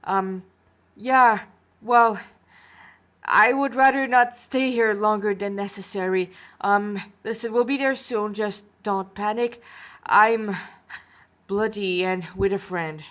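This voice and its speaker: A woman's voice: medium pitch, slow pace, fairly bright tone. A woman voicing a young cadet boy in an audio story, shaken, grim and hesitant. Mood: hesitant, weary. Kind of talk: dramatic amateur audio story.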